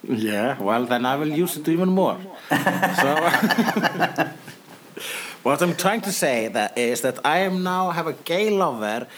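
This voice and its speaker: A man co-hosting a casual comedy podcast, talking haltingly with many fillers and a dry sense of humor. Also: on the high side, lively intonation, a bit bright, a little hiss or hum. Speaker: podcast co-host and stand-up comedian.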